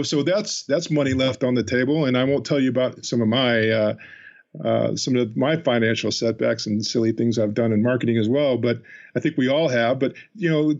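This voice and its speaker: A man's voice: mid-range voice, darkish tone, lo-fi sound. A male interviewer who rambles through his questions in a chatty way and teases his guest. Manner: rambling, teasing. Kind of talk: casual conversation.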